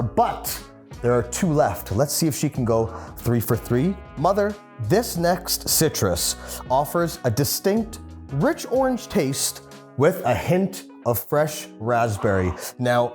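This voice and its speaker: Man, chef, cooking show host, playful, instructive, soft background music. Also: on the high side. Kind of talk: upbeat cooking show presenting.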